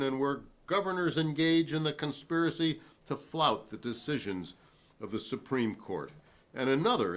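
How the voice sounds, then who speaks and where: Principled American male witness played by a man in a dramatic hearing reading; defiant, oratorical, widely swinging pitch. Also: fairly bright tone.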